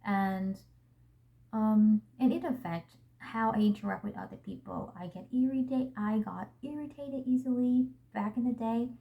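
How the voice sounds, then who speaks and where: A young woman with a Vietnamese accent, whose first language is Vietnamese, hosting a vlog and talking to the camera in a gentle, earnest and reflective way. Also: fairly high pitch, normal speed, gravelly, muffled recording. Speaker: vlog host.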